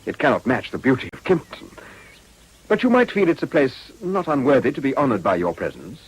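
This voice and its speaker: A man playing a courtly, flattering upper-class gentleman suitor in a period drama, with florid, formal manners. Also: medium pitch, brisk, slightly rough, poor audio. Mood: courtly, admiring.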